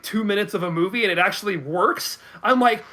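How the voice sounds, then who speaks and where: High, bright-voiced man thinking out loud. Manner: halting, repeats words as he thinks aloud. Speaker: guest commentator. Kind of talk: casual film and game discussion.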